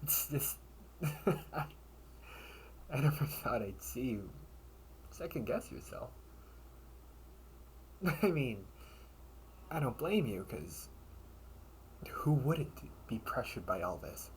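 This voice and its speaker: A man playing a loyal young knight in an audio roleplay, a caring, gently teasing friend who speaks in halting, formal phrases. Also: medium pitch, sing-song, a little hiss or hum. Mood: amused, reassuring. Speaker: amateur audio roleplay performer. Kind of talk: intimate audio roleplay acting.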